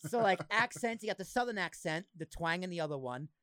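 A man's voice: sits high, expressive, fairly quick, sharp tone, clear, resonant tone, dry recording, studio quality. A young man with a slight Boston accent, hosting a podcast in casual, blunt, joking chat. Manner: blunt, jokey, swears, laughs often. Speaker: podcast host.

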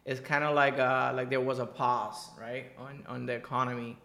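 A man's voice: medium pitch, little pitch movement, moderate pace, creaky, pushed and bright, echoey room. A young man from Cuba, a podcast guest, talking casually and animatedly, repeating words for emphasis. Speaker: podcast guest, entrepreneur and coach. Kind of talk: casual interview conversation.

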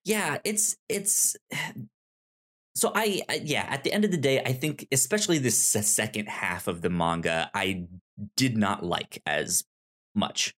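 Halting, casual man co-hosting a podcast. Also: medium pitch, fairly bright tone. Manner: hesitant, halting, repeats words as he searches for phrasing. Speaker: podcast co-host. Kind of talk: casual conversational book review.

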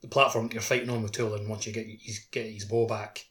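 A man chatting casually about a video game on a podcast, in a halting way peppered with filler words. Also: on the low side, little pitch movement, fairly bright tone. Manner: halting, hedging with many likes.

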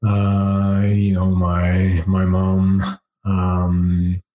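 Male guest, deep voice, slow pace, chuckles a lot, headset mic in an echoey room. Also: monotone, highs cut off. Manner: laughs often, thinks aloud with fillers. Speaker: podcast guest, education researcher. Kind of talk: casual interview chat. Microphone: over-ear headset with boom mic.

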